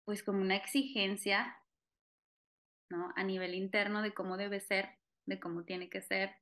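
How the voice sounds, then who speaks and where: Woman, reflective chat, mid pitch, frequent tag questions. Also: fairly even intonation, unhurried, fairly bright tone. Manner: thoughtful, hedging with tag questions. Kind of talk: casual conversation.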